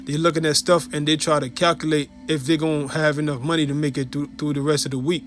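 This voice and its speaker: A man's voice: a little high, little pitch movement, fast, fairly bright tone, faint music behind. Haitian-accented man preaching casually. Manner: conversational, fills with "you know" and "you see". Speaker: religious teacher. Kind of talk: informal preaching video.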